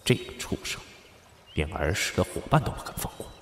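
Inner monologue of a scornful prince male actor: deep, heavily echoed, costume radio drama. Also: big pitch swings, fairly quick, creaky, full character voice. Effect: reverberant thought-voice echo. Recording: long reverb, noisy background.

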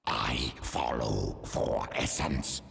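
A man's voice: very deep voice, very slow, gravelly, a bit bright, full character voice. A man voicing a huge, predatory primal alien creature in a video game, blunt, laconic and menacing. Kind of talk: video game character acting. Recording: cavernous echo, distant from the mic.

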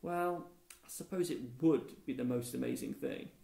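A man's voice: medium pitch, normal speed, gravelly, warm tone. Guy doing a reluctant boy in a kids story, hesitant, roomy sound. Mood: tentative. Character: hesitant boy disguised as a girl. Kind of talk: storybook character dialogue. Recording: echoey room.

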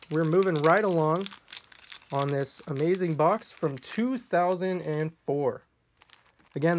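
A man casually narrating a card pack opening off camera, reading out card names, a little hoarse. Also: on the high side. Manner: relaxed, reads card names off one by one. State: slightly hoarse, clearing throat. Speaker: card collector opening packs. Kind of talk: casual unboxing commentary. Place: indoors at a table.

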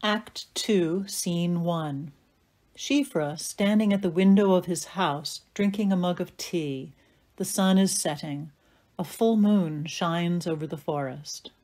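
Female narrator for audio play, softly dark tone, lower register, measured stage-direction read. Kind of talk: scripted narration.

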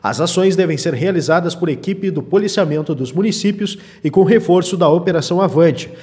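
A man's voice: on the high side, fairly quick, a little mellow. A man reading scripted news reports in voice-over. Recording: long reverb on voice-over.

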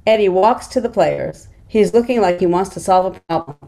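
A woman's voice: mid-range voice, normal speed, vocal fry, dark tone. A middle-aged woman reading stage directions as scripted narration over a video call. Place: small plain room at home, on video call.

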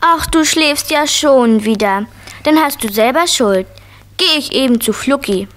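A woman's voice: high voice, expressive, a little slow, a bit bright. A woman playing a curious, bossy four-year-old girl in a 1970s German children's radio play. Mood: pleased, determined. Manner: inquisitive, insistent.

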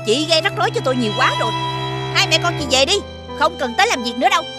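An exasperated village shopkeeper from a fairy-tale cartoon. She talks very fast in a high voice, brusque and dismissive, with music clearly playing behind her. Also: expressive. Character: curt, exasperated female village eatery owner. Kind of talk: animated folktale dubbing.

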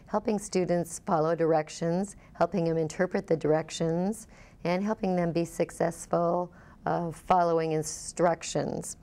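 Mellow lower-voiced woman instructor explaining. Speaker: college instructor. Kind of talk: explanatory talk about a teaching program.

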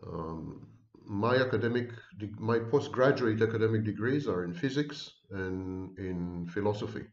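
A man's voice: fairly low pitch, moderate pace. Middle-aged man, ironic lecture tone, roomy dull webcam sound. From Israel. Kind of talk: monologue to camera. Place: home room.

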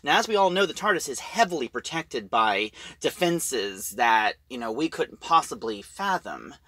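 A man giving an informal spoken review, retelling a plot in a halting, emphatic storyteller's way. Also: mid-range voice, big pitch swings, sharp tone, no echo.

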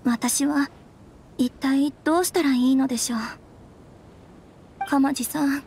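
A woman voicing an earnest, gentle, well-bred young noblewoman in a video game, with a polite, hesitant manner. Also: sits high, steady tone, unhurried, a little hiss or hum. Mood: troubled. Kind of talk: Japanese video game voice acting.

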